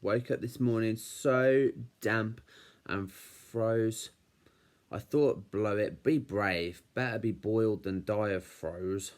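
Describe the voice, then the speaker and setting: A man's voice: lowish voice, takes it slowly. A man voicing a young, timid wolf cub in a storybook, with a childlike, wobbly manner that can turn stammering when nervous. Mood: chatty, grumbling. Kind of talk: storybook reading as letters.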